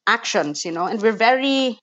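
A woman's voice: fairly high pitch, animated, normal speed, fairly bright tone, no echo, lo-fi sound. A Cebuano actress in a casual interview, with a Filipino accent, laughing often and restarting her sentences. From Cebu, Philippines. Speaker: actress guest.

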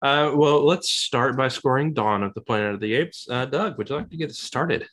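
A man's voice: medium pitch, moderate pace, a bit bright. A man hosting a casual film review podcast, upbeat and jokey as he steers the talk between segments. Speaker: podcast host.

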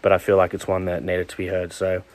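Deep, flat-voiced male podcast host. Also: quick pace. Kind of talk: casual interview.

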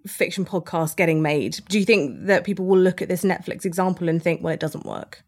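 A woman's voice: lowish voice, fairly quick, a little mellow. A woman, hosting a podcast in a chatty interview style. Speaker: podcast host.

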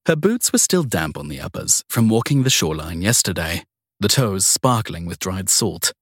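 A man narrating fiction in a measured, descriptive way. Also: sits low, sing-song, fairly bright tone, slightly rough, no echo, studio quality. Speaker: audiobook narrator.

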